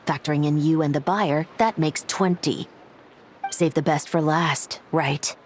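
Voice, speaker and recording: An actress playing a cool, confident patrol fighter in a video game, controlled, dry and taunting. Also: female voice, sits low, fairly even intonation, measured pace, a little hiss or hum. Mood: coolly menacing.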